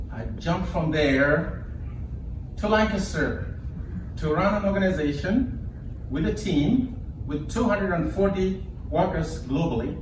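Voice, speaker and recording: Kenyan man speaking in an echoey room. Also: fairly high pitch. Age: middle-aged. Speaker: public speaker, leader of an organization. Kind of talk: live speech to an audience, personal storytelling. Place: indoor event before an audience. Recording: echoey room, distant mic, background noise.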